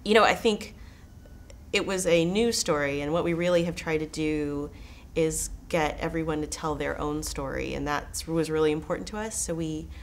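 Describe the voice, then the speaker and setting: A woman's voice: low-pitched, normal speed, a little hiss or hum. An enthusiastic American woman from Texas, a documentary filmmaker talking conversationally as a festival interview guest. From Texas, United States.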